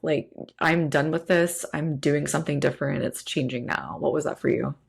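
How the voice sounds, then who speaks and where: A woman hosting a podcast draws out her guest, encouraging him and chiming in with quick affirmations. Her voice is low for a woman and carries a gravelly edge. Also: steady tone. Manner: encouraging, frequent short affirmations. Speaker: podcast host. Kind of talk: interviewing a guest.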